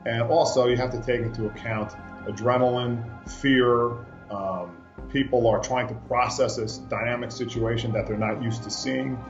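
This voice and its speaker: A man's voice: mid-range voice, steady tone, moderate pace, faint music behind. A man, a former police officer, explaining as an expert in an interview. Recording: echoey room, slightly dull video-call sound.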